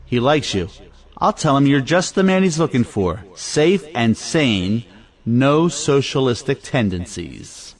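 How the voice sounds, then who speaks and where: A man's voice: mid-range voice, sing-song, measured pace. A man playing a wealthy, domineering family patriarch in an audio play, blustering and persuasive. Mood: coaxing.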